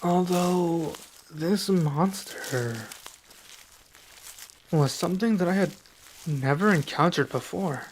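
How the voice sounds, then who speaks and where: A young man playing a serious, guarded dragon adventurer in an ASMR roleplay, speaking softly and slowly with pauses. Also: sits high, animated, a little hiss or hum. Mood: explaining. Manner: soft-spoken, measured, with pauses.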